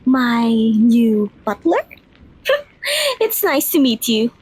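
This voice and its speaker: A woman's voice: on the high side, big pitch swings, very slow, a little mellow, husky, exaggerated voice. A woman playing a childish, playful young vampire mistress in an ASMR roleplay, giggly and girlish. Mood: delighted, curious. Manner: giggly, girlish, hesitant little fillers.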